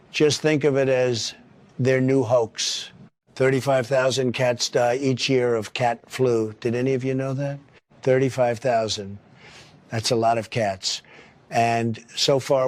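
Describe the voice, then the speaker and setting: A man's voice: medium pitch, close to an everyday voice. A man voicing a boastful, paranoid male cat demagogue in a satirical audio story, delivering pompous, repetitive political speeches. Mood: dismissive boasting.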